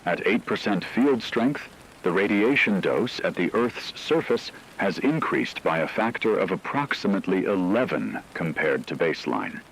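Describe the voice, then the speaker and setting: A man playing a grave expert in a scripted radio disaster broadcast, speaking in a measured, technical and formal way. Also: deep voice, animated, a little hiss or hum, rough recording. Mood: grave, clinical. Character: grave male expert briefing on a global disaster.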